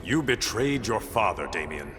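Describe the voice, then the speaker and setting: A man's voice: lowish voice, big pitch swings, normal speed, very noisy. An actor playing a proud, stern undersea warrior king in a video game, with a regal, defiant, formal way of speaking. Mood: regal scorn.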